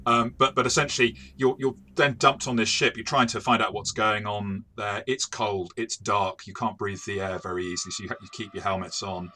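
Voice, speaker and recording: A man's voice: on the low side, steady tone, fairly quick, hardly any pauses, pushed and bright. A man co-hosting a review, talking in a casual, discursive and explanatory way. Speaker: co-host and game reviewer.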